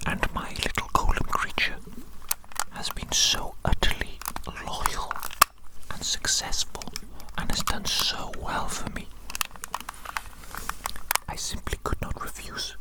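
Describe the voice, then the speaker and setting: Whispering woman as a grandiose mad scientist. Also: rough recording. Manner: theatrical, repeats phrases slowly. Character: grandiose evil mad scientist doctor, scheming and self-important. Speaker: ASMR performer. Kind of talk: whispered ASMR roleplay monologue as evil doctor.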